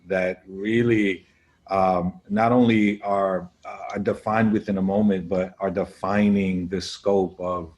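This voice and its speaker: A man with a very low voice answers a panel question, filling pauses with ums and you-knows as he builds his point. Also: darkish tone. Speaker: panel guest.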